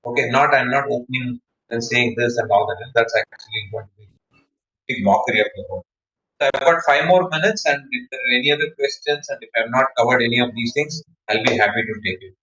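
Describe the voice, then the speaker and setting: An Indian-accented man coaches public speaking in an online session. He explains instructively through examples, in a mid-pitched voice. The sound is roomy and somewhat dull, like a web meeting recording. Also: quick pace, pushed and bright. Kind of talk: online workshop, explaining and answering questions. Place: indoor room, online meeting.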